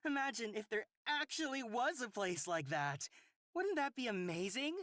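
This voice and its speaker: Bold, playful fantasy traveller. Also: female voice, on the high side, sing-song, a little slow, a bit bright, no room sound, studio quality. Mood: amazed, playful. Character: bold, flirty, curious woman traveller in a fantasy story. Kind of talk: visual novel voice acting.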